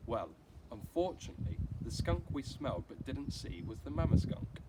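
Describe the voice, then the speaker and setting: A calm, patient storybook mother read by a man, explaining in a measured, gentle manner at medium pitch. The recording is clearly noisy. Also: lightly acted.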